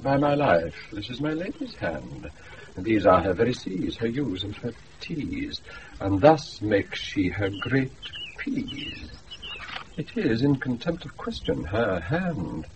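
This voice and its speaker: An actor with a British accent playing a pompous, self-infatuated steward in a radio Shakespeare play. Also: male voice, fairly low pitch, big pitch swings, slow pace. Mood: eager puzzling. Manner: pompous, savouring each phrase. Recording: muffled, noisy off-air radio recording.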